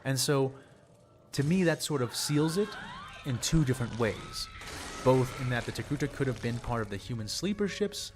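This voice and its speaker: A young man, slightly hoarse, explaining fictional lore in a rambling, casual way and repeating words for emphasis. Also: mid-range voice, expressive, moderate pace. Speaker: channel host.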